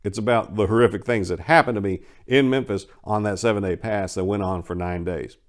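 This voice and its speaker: A man's voice: fairly low pitch, fairly even intonation, a little fast. A man performing as a host and dramatic story reader, with a theatrical, emphatic, wry delivery. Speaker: writer and channel host performing dramatic readings. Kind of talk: dramatic first-person story reading.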